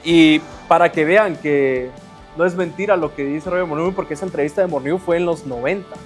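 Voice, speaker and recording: A male host with a high voice explains things straight to his viewers, talking them through between clips. Soft music plays in the background. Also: fairly quick. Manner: explanatory, addresses the audience directly. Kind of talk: commentary on video clips.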